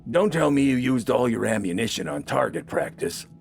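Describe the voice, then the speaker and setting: A man voicing a gruff, bossy, scornful army corporal in an audio story, barking curt, sarcastic orders. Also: medium pitch, moderate pace, soft background music, pitched above the performer's own voice. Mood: exasperated.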